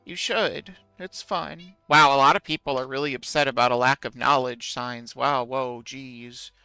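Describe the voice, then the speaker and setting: Creaky, bright-voiced man chatting while gaming. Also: mid-range voice, fairly even intonation, normal speed, no echo, faint music behind, rough recording. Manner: musing, self-deprecating, answers chat. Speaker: streamer playing a game. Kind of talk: casual gameplay commentary, chatting with viewers.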